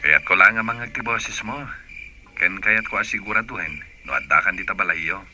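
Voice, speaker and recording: A man's voice: low voice, normal speed, over music, lightly acted. A man with an Ilocano accent playing a young, controlling, deceitful boyfriend in a radio drama. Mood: checking up.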